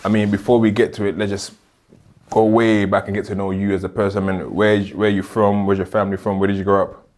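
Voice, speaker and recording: A man's voice: fairly low pitch, level tone, quick pace, darkish tone. A man, hosting a podcast in a casual interview style. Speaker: podcast host.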